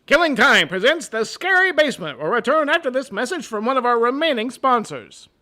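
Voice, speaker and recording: A man's voice: very high-pitched, sing-song, a little fast, bright, forceful tone, full character voice. A man playing a bombastic old-time radio announcer in a parody of a 1940s horror show.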